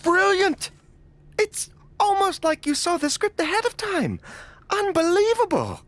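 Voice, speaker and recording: A man's voice: extremely high pitch, wildly swooping pitch, unhurried, fairly bright tone, heavily acted. A man playing a gushing, pretentious film director in a video game cutscene, effusive and theatrical. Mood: gushing delight. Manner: theatrical, effusive, touchy-feely.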